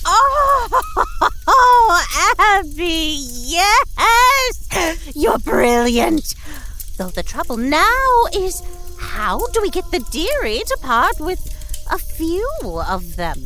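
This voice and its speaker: Shrill, snide witch. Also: huge pitch swings, very slow, bright, forceful tone, heavily acted. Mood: delighted, sly. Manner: sarcastic, haughty. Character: snide, condescending, vain witch sister. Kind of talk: horror comedy audio drama.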